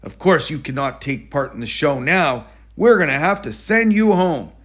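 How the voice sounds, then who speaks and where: A man's voice: a little high, expressive, normal speed. A man voicing a bossy, stern but fair show director in a children's storybook, speaking with instructive authority. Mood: stern, angry.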